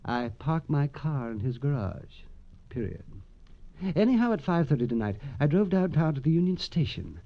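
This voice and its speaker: A man's voice: a little high, sing-song, moderate pace. An actor playing a nervous, evasive businessman in 1940s radio crime drama, hesitant and stammering. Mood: anxious, explaining. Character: nervous, evasive male businessman, frightened and self-justifying. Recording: muffled vintage radio recording.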